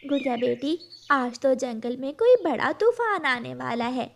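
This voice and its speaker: A woman voicing a gentle, earnest mother sparrow in a children's cartoon. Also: high-pitched, a little slow, vocal fry, slight background noise. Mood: tender, concerned. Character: gentle mother sparrow, caring, earnest, storytelling to her daughter.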